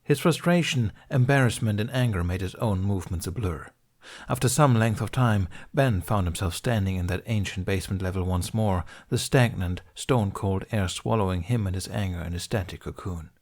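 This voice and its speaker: A man reading fan fiction aloud as an audiobook narrator, in a steady, measured storytelling manner. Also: low voice.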